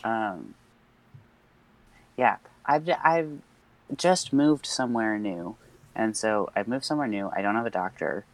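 A man's voice: fairly low pitch, lively intonation, measured pace, long pauses, rough recording. A young man co-hosting a podcast in casual chat, rambling with many fillers and nervous laughs. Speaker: podcast co-host.

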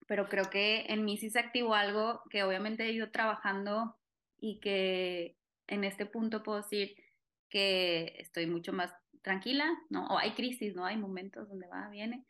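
A woman's voice: mid-range voice, fairly even intonation, normal speed, a bit bright. Thoughtful woman with tag questions. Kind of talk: casual conversation.

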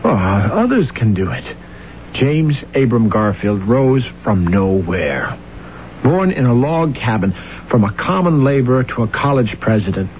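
An actor playing a boastful, ambitious young clerk with a grand, flattering manner, in old-time radio drama style. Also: male voice, mid-range voice, measured pace. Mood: boastful, excited. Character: boastful ambitious young man, a smooth-talking law clerk courting a lady. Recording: tinny, noisy vintage radio recording.

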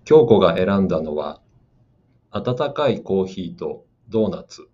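A man giving slow, calm, scripted narration for a radio drama meant for learners. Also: sits low. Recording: dull lo-fi audio.

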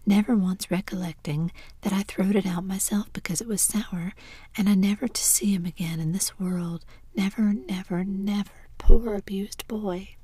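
A woman's voice: medium pitch, fairly even intonation, normal speed, dark tone, slightly rough, no echo, natural voice. A woman whispering as a tearful, remorseful mother in a storybook reading. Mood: sobbing.

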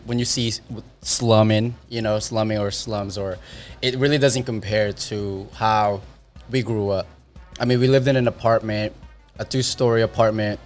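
A man in his thirties from the Philippines, chatting casually about growing up, rambling and correcting himself as he goes. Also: mid-range voice. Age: 30s. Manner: rambling, self-correcting storyteller. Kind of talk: casual chat.

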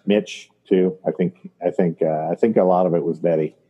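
A man's voice: low voice, steady tone, moderate pace, husky, muffled recording. A man in his fifties co-hosting a podcast, chatting casually and reflectively with joking asides and frequent restarts. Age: 50s. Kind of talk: casual reminiscing chat.

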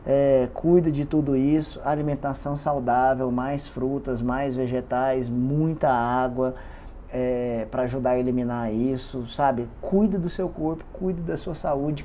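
A man's voice: a little high, dark tone, a little hiss or hum. A man with a stuffy, congested voice, talking casually to camera about his fitness challenge and repeating words for emphasis. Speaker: vlogger documenting a fitness challenge. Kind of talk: casual confessional vlog.

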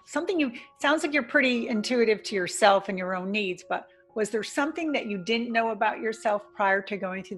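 A woman's voice: mid-range voice, a bit bright. A woman hosting an interview podcast, asking questions in an affirming, encouraging way. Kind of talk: interview, casual chat.

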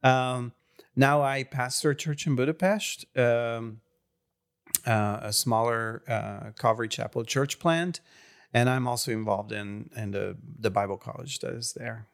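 A man from Serbia whose first language is Hungarian, a pastor speaking English in an interview, pausing often with fillers and restarts. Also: mid-range voice, clear, resonant tone. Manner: halting, many fillers and restarts. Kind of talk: interview conversation.

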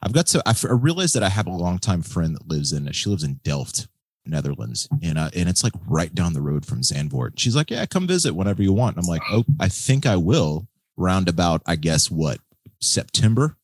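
An American man hosting a podcast in casual, slangy chat, with a husky voice and a laid-back manner. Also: low voice, big pitch swings, moderate pace, dry recording. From United States. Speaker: podcast host. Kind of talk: casual chat about motor racing.

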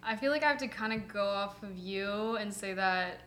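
A woman's voice: medium pitch, normal speed, fairly bright tone, a little hiss or hum. A woman taking part in a casual group conversation. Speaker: conversation participant. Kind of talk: casual chat.

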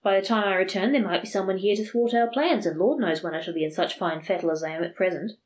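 A woman's voice: medium pitch, fast, close to an everyday voice. A woman voicing a lustful village priest who wheedles and boasts. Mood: wheedling.

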